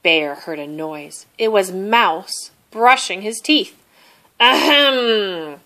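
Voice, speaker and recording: A woman reading a children's story aloud as an expressive narrator. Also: medium pitch, takes it slowly. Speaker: storybook reader.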